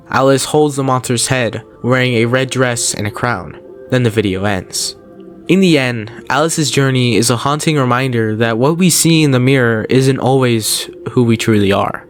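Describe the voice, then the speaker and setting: Male narrator for horror recap commentary, middle-pitched voice, casual storyteller delivery, light music underneath. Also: normal speed. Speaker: video narrator, host. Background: background music.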